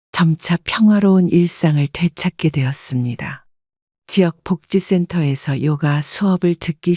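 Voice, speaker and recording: A woman narrating a scripted first-person life story in a calm, even manner. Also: deep voice, no room sound, studio quality.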